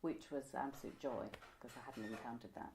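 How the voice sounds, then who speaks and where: A woman's voice: very deep voice, a little fast, vocal fry, a little mellow, a little hiss or hum. A woman, reminiscing conversationally as an interviewee. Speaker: interviewee, pantomime costume maker. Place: indoors, room by a window.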